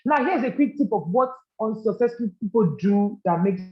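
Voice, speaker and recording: A man's voice: high-pitched, lively intonation, normal speed. A man giving a business leadership training, earnest and coaching, often checking in with "all right?". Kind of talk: live training presentation to a group. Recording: roomy, muffled lo-fi recording.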